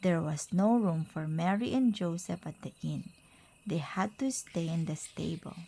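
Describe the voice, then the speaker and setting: Slow, mellow woman reading a children's book. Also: fairly low pitch. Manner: simple, deliberate phrasing with pauses. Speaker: mother reading aloud to a child. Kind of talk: children's picture-book read-aloud.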